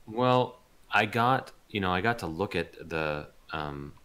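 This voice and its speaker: Deep-voiced, halting man in interview. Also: lively intonation, fairly bright tone, smooth. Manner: halting, thoughtful, searching for words. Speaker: voice actor being interviewed. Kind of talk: casual interview chat.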